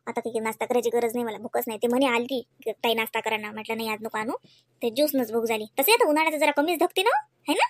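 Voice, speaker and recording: A woman's voice: a little high, very fast, no echo, close to an everyday voice. A woman voicing a practical, good-natured young wife and mother in a comedy cartoon, homely and chatty, with a Varhadi Marathi accent. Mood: matter-of-fact. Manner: chatty, homely, matter-of-fact. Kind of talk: homemade comedy cartoon dialogue.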